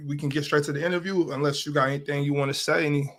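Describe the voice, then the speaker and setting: A man hosting a casual interview, talking in a relaxed, slangy way and often repeating words while he searches for them. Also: a little high, rapid-fire. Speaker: interviewer and show host.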